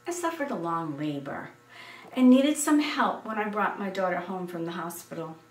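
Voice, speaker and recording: An American woman in her seventies reading a scripted personal story in an expressive, deliberate way. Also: lowish voice. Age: 70s. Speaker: essayist reading her memoir. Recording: roomy, distant microphone.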